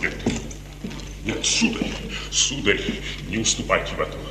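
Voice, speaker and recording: A man's voice: low voice, animated, unhurried. An actor playing a proud, anguished father, intense and bitterly ironic, in 1950s theatrical radio style. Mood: anguished, pleading. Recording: echoing hall, noisy old radio recording.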